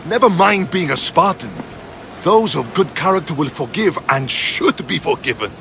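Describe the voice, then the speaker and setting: A man playing a principled, measured Spartan soldier in dramatic video game dialogue. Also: a little high, expressive, gravelly, noisy background. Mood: amazed, earnest.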